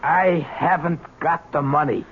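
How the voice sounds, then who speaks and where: An actor as Rick, weary old ex-convict, muffled. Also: male voice, a little high, steady tone, measured pace, natural voice. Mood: weary, stubborn. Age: older. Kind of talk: old-time radio drama acting. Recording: dull, hissy vintage radio broadcast.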